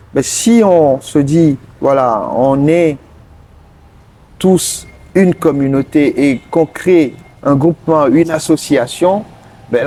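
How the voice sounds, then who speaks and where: A man from Togo, answering interview questions earnestly and pausing often mid-sentence to find his words. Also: fairly high pitch, mellow, low-quality recording. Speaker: interview guest, politician.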